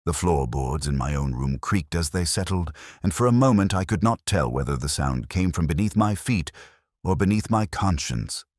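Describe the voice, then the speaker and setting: A man with an extremely low voice narrates detective fiction in the first person. His delivery is measured and reflective, with a literary turn and lively movement in pitch. The sound is dry, with hardly any room around it. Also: no echo.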